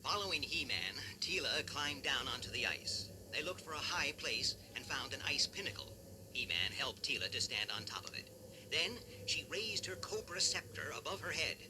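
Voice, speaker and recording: Man, storybook narrator, steady measured reading, slightly high voice, loud background hiss. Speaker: storyteller, channel host. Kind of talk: reading an adventure storybook aloud.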